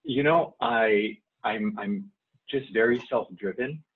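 Man, 40s, from Saipan, Chamorro native speaker, low husky voice, hesitant with fillers, phone-quality audio. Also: takes it slowly. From Saipan, Northern Mariana Islands. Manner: hesitant, many fillers and restarts. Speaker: guest, nonprofit staff member. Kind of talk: casual interview. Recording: tinny phone-like line.

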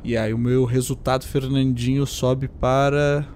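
A man at a tabletop game talks casually and rambles as he describes his character. His voice is mid-pitched and mellow. A faint hiss sits under the recording. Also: little pitch movement, unhurried. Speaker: player.

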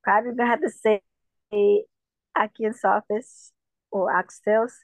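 A woman from Jamaica, a musician guest, talking casually in an interview with a relaxed manner and lots of fillers. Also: fairly high pitch, normal speed, no room sound. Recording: dull, dry lo-fi sound.

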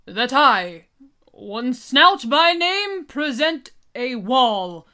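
A woman playing a simple, earnest amateur actor who presents himself as a wall in a halting, laboured recital in a Shakespeare radio comedy. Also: sits high, sing-song, pushed and bright, full character voice.

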